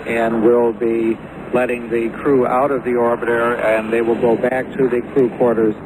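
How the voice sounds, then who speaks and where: A man making an official announcement, halting with fillers, heard from a distance on a noisy radio recording. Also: mid-range voice. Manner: flat, halting official statement. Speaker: spokesman.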